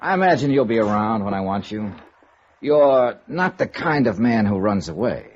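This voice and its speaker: A man's voice: fairly low pitch, very animated, moderate pace, a little mellow. A man with an American accent playing a tough, unflappable, sardonic insurance investigator in 1950s radio noir style. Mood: pressing, wry. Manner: sardonic, clipped, needling. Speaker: lead actor narrating and playing an investigator. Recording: dull, noisy old radio recording.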